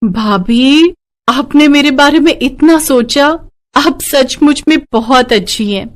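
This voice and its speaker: An earnest young woman from a poor family as a female storyteller plays her, with a high voice. She is tender-hearted and speaks with open emotion, in the manner of a cartoon moral tale. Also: slow pace. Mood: grateful. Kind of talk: animated moral story dialogue.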